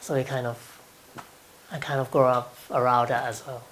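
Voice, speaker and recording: A man's voice: medium pitch, flat delivery, normal speed, fairly bright tone, a little hiss or hum, low-quality recording. A man in his twenties from Thailand, speaking with a Thai accent and answering interview questions hesitantly, with frequent ums and light laughs.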